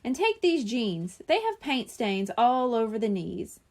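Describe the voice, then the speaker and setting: Lady doing a kind easygoing mom in a kids storybook, high voice, lots of melody. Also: very animated, unhurried, darkish tone. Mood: helpful, playful. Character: kind, easygoing mother of a young boy. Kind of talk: children's audiobook character dialogue.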